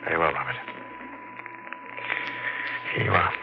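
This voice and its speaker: An actor playing a guarded, formal family attorney in a 1940s radio drama, stiff and disapproving. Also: male voice, sits low, unhurried, gravelly. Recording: dull lo-fi 1940s radio broadcast with loud hiss.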